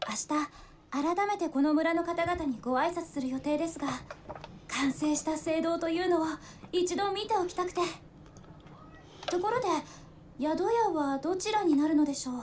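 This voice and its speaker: Very high-voiced, polite young traveler. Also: female voice, quick pace, darkish tone. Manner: very courteous, formal speech. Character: polite, earnest young woman visitor to a village. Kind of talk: Japanese visual novel voice acting. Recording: game audio in a noisy livestream.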